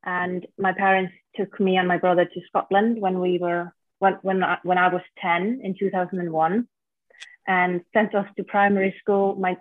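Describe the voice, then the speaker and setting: A woman's voice: medium pitch, no echo. Icelandic woman on a tinny line. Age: 30s. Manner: relaxed, plainspoken storytelling. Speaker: guest. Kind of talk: casual interview chat. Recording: dry, tinny phone-like line.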